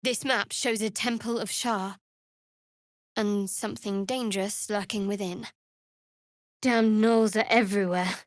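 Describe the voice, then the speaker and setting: A woman playing a guarded, sardonic cleric of a dark goddess in a video game, with a dry, wry delivery. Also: medium pitch, steady tone, a little slow, long pauses, dry recording, close to an everyday voice. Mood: wary, observant. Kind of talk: video game character voice lines.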